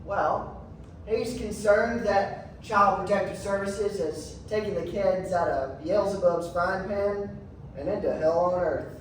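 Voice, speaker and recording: A man's voice: high voice, slow pace, a little hiss or hum. A man playing an earnest, concerned government aide from a governor's office in a stage reading. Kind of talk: theatrical readers theatre acting. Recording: very echoey hall, far from mic.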